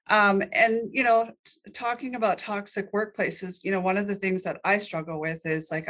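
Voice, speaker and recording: A woman's voice: lowish voice, a bit bright. A woman in the audience of a virtual talk, speaking candidly and hesitantly. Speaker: audience member asking a question. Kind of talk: casual remarks in a Q&A.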